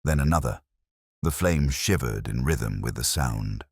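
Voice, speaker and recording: A man narrating a detective story in a dramatic, measured and suspenseful way. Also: rumbling low, expressive, a little mellow, breathy edge, dry recording, clean studio sound. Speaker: audiobook narrator.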